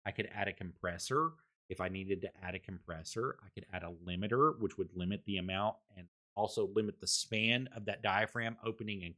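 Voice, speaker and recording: A man with a fairly low, loud voice gives a casual microphone review on his home stream. He rambles, restarts and repeats words as he walks through his settings, speaking into a desktop condenser mic.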